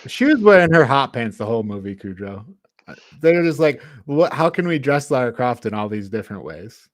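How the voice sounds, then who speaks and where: A man's voice: medium pitch, very animated, brisk, dry recording, clean studio sound. Man in a casual, exasperated movie chat. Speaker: podcast co-host reviewing a film.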